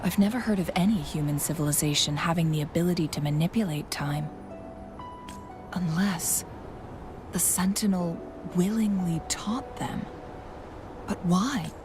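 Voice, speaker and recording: An actress gives voice to a thoughtful young woman who travels beside the hero. Her voice sits on the low side and she talks in a measured, musing way, often dropping into a soft hush. Game music plays clearly behind her. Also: natural voice. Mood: pondering. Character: thoughtful young woman companion, earnest, curious. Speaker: game companion character. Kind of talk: scripted game dialogue.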